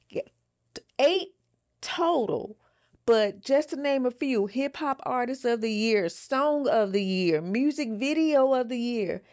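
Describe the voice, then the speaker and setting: A woman's voice: medium pitch, darkish tone, no room sound. Enthusiastic woman co-hosting a podcast, dry sound. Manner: emphatic, enthusiastic, repeats words. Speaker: podcast co-host. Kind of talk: casual podcast chat.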